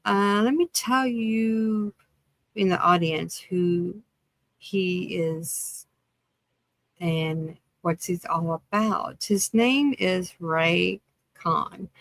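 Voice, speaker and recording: A woman's voice: lowish voice, drawn-out words, vocal fry, no room sound, poor audio. A woman hosting a podcast interview, introducing her guest and putting questions, sometimes stumbling as she reads. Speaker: podcast host.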